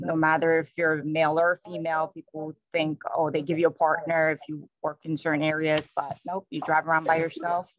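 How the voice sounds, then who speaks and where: A man with a New York accent, a police sergeant introducing himself on a panel in a plain, conversational way with many pauses. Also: on the high side, no echo, rough recording. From New York, USA.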